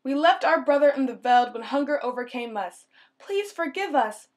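Greedy storybook brothers, plainly read, higher voice, done by a woman. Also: animated, moderate pace. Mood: remorseful. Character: two jealous, greedy elder brothers.

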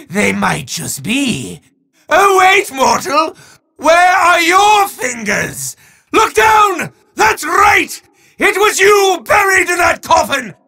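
An actor playing a bombastic, boastful evil wizard in a video game, with a raspy voice and theatrical, drawn-out proclamations that break into shouts. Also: male voice, very high-pitched, full character voice. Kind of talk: video game villain voice acting.

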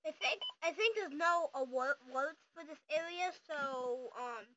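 A casual, excitable host chatting through a home unboxing, quick to argue and interrupt. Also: high-pitched. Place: small room at home, indoors. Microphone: handheld camera or phone. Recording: noisy, dull handheld camera audio.

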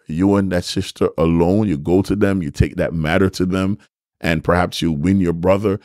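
A middle-aged man teaches from the Bible like a preacher at a desk. His voice sits on the low side, and he is deliberate, restating each point for emphasis. The sound is dry and close on the microphone. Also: a little mellow, dry recording. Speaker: bishop and host of a church teaching show. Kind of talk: sermon-like Bible teaching to camera. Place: small furnished room, indoors. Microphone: microphone on a stand, close in front.

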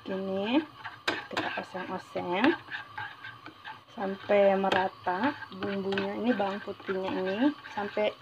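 A woman with a medium-pitched voice talks casually through home cooking. She repeats herself and mixes in bits of English. The phone recording carries clear frying sizzle and stirring noise from the kitchen. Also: flat delivery, slow, long pauses. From Indonesia. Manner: chatty, repetitive, peppered with English words. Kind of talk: casual unscripted cooking tutorial. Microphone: handheld phone behind the camera. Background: frying sizzle, stir noises.

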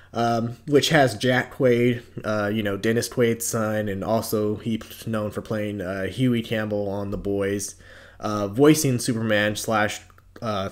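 A man's voice: on the low side, a little mellow. A man in his twenties with an American accent, giving casual, slangy, somewhat hesitant commentary as a video host. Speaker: YouTube host reviewing entertainment news.

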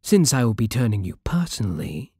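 A seductive, imperious vampire king played by a man speaks with a British accent in a soft, very breathy voice. His tone is dark and mellow, with expressive swings in pitch as he gives possessive commands. It is an intimate ASMR roleplay with a dry, close sound. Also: brisk, no echo. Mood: seductive, solemn. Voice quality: breathy, hushed.

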